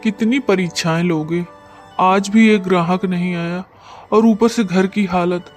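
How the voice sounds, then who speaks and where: A man's voice: sits high, steady tone, measured pace, faint music behind. A man playing a poor, despairing village blacksmith and father in a dramatized story, lamenting sorrowfully. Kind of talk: dramatized Hindi story narration.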